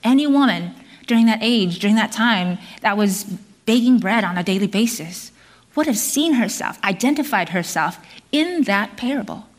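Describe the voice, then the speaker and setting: A middle-aged woman born in South Korea, preaching a sermon to a congregation in an earnest, measured way, with some fillers and restarts. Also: medium pitch, animated.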